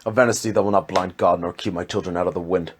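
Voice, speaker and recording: A man acting a grave, anguished farmer who is torn by guilt, speaking in halting period drama. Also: low-pitched, fairly even intonation, slight background noise, natural voice. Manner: grave, halting, self-accusing.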